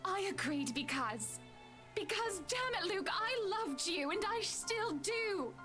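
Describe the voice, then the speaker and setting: An actress playing a proud, wounded young wife in a visual novel, haughty and indignant. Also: female voice, very high-pitched, very animated, slow, exaggerated voice. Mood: bitter, wounded. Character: proud, wounded, wealthy young wife standing her ground. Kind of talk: dramatic visual novel voice acting. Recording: game music behind the voice. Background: game music.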